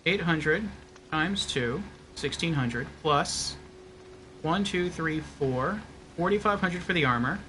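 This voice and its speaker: A man's voice: on the high side, expressive, slow, sharp tone, slight background noise, poor audio. A man giving casual livestream game commentary, thinking aloud and reasoning things out step by step.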